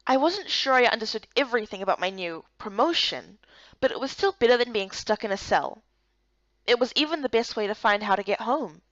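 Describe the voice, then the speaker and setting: A woman voicing a spirited, incredulous young guard recruit in a visual novel game, expressive and questioning. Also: on the high side, brisk, no echo, muffled recording. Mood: reflective.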